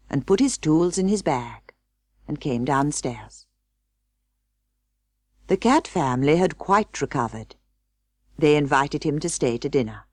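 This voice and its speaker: A British woman narrating a children's storybook in a measured, expressive way. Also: low-pitched, no echo, studio quality. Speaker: audiobook narrator.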